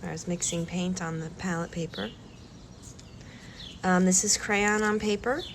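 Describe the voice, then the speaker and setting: A woman speaks in a low-pitched, slow, soft-spoken way, with the slightly stuffy nose of a cold. She talks hesitantly with many pauses and fillers, like a calm art vlogger showing her work. Steady background noise sits behind her voice. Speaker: collage artist vlogger. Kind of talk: calm art-demonstration vlog.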